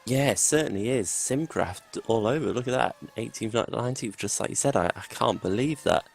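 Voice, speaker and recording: A man's voice: mid-range voice, expressive, fairly quick, gravelly, a little hiss or hum. A man co-commentating on a live sim racing session in a chatty, conversational way, his voice a little worn as he is losing it. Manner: chatty, conversational, laughs easily.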